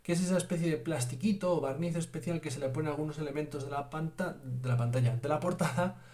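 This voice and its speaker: A man with a Spain Spanish accent hosting a tabletop game review, speaking in a measured, formal way with "eh" pauses. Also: a little high, darkish tone. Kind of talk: product review talk to camera.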